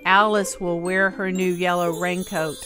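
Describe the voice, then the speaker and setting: A woman reading a rhyming storybook aloud for children in an expressive, sing-song way. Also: on the low side, measured pace. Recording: music playing behind the voice. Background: clear background music.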